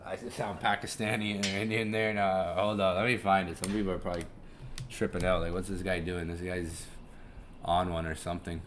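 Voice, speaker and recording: Bright-voiced young man rambling on a live stream. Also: deep voice, level tone, brisk, a little hiss or hum. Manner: rambling, chuckling, swears casually, repeats himself. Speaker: live-stream trading host. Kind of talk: casual live-stream commentary.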